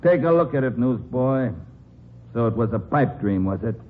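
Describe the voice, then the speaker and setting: A man's voice: medium pitch, expressive, moderate pace, lightly acted. An actor playing a menacing, sneering gangster who taunts in tough-guy slang in a 1950s radio crime drama. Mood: sneering menace. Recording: noisy, tinny 1950s radio broadcast recording.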